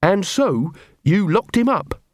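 A man acting an earnest, cheerful young watch corporal in an audiobook, polite, brisk and by the book. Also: sits high, very animated, no echo, clean studio sound, pitched above the performer's own voice, heavily acted. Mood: calm, reasonable.

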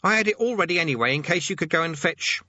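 A man voicing a squeaky, eager young boy demonologist who blusters pompously and hesitates, in a storybook reading. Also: expressive, fast, sharp tone, no echo, dull audio, heavily acted. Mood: eager, chatty. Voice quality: squeaky, high-pitched.

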